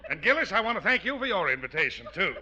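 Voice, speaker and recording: An actor playing a brisk, cheerful boss with a hearty manner in a 1940s radio comedy. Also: male voice, high-pitched, very animated. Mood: genial, brisk. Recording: dull, faintly noisy old radio recording.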